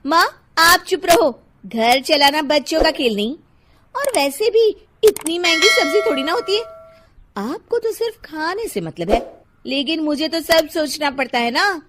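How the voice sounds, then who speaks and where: A haughty, sharp-tongued young housewife who snaps at everyone, voiced by a woman. Her voice is high and swings widely, and she speaks in an unhurried way. She is a cartoon storybook character. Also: animated, noisy background. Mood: dismissive. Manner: sharp, rude and scornful.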